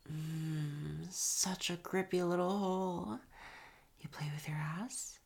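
Slow, husky whispering woman. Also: on the low side, fairly even intonation. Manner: soft teasing whisper with breathy murmurs and chuckles. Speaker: audio roleplay performer. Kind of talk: intimate whispered audio roleplay.

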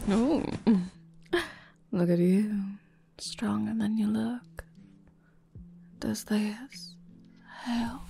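A woman voicing a flirty, teasing adult server in an intimate audio roleplay, talking in a breathy whisper full of endearments. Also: fairly high pitch, darkish tone, exaggerated voice.

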